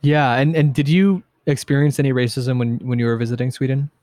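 A man with a mid-pitched voice asks and responds as a podcast interviewer. His talk is casual and conversational, and the sound is dry with hardly any reverb. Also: steady tone, fairly quick, dark tone.

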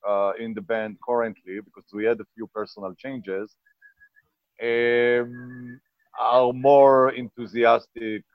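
A man's voice: medium pitch, level tone, slow pace, dry recording, highs cut off. A man in his forties from Israel, a band member and bar owner, talking earnestly in a casual interview and searching for words. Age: 40s. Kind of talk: casual interview over a call.

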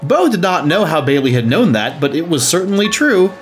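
A man's voice: fairly high pitch, very animated, fairly quick, soft background music. A man narrating a scripted story.

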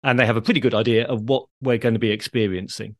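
A man's voice: mid-range voice, fairly even intonation, quick pace, dry recording, studio quality. A man with a British accent hosting a podcast interview in a conversational style. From United Kingdom. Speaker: podcast host.

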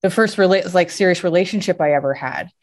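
Low-voiced earnest woman podcaster. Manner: earnest, personal, measured. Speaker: podcast co-host. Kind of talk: candid podcast conversation.

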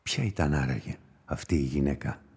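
An actor playing an observant police inspector musing to himself in a radio play. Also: male voice, rumbling low, lively intonation, creaky, a little mellow, slightly rough, heavily acted. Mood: puzzled. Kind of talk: radio play inner monologue.

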